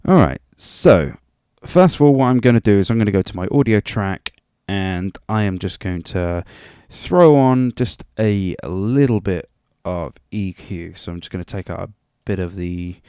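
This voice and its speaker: A man's voice: sits low, expressive, moderate pace, hardly any pauses, mellow, smooth, dry recording, clean studio sound. A man giving an informal music production tutorial in a rambling, chatty and self-deprecating way. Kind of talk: informal software tutorial narration.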